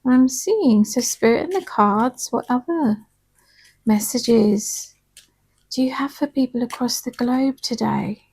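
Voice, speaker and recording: Woman, slightly hoarse, fairly high voice, soft and reassuring, chatty card reader. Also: slow. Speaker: tarot and angel card reader. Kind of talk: casual spiritual card reading.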